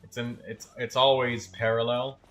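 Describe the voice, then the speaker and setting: A man's voice: medium pitch, level tone, normal speed, fairly bright tone, soft background music. A man giving casual, instructive gameplay commentary in colloquial language, as a gaming host helping another player. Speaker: livestream game host.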